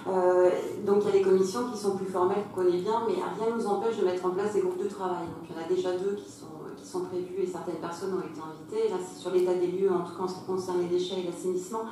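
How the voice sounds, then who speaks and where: A woman's voice: lowish voice, fairly even intonation, quick pace, hardly any pauses, mellow. A woman speaking off the cuff as a council member, explaining in a conciliatory way. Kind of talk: spontaneous speech in a formal meeting. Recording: very echoey hall, distant mic, faint noise.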